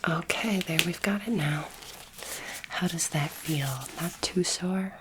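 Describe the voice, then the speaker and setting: A woman whispering gently and reassuringly in an ASMR roleplay, acting as a calm, kindly alien doctor, close to the microphone. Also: fairly low pitch, very noisy. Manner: soothing, gentle, reassuring, unhurried. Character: kindly, calm female alien space doctor examining a human. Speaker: ASMR performer playing an alien space doctor.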